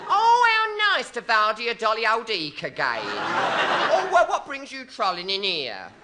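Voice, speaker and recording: An actor playing a camp, saucy showbiz agent, gushing and gossipy in a 1960s radio comedy sketch. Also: male voice, high-pitched, wildly swooping pitch, unhurried, heavily acted. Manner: camp, arch, gossipy, slang-laden. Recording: noisy 1960s radio recording, studio audience.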